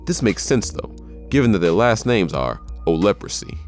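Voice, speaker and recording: A man's voice: lowish voice, sing-song, normal speed, a little mellow, faint music behind. A man reading scripted narration for a countdown video.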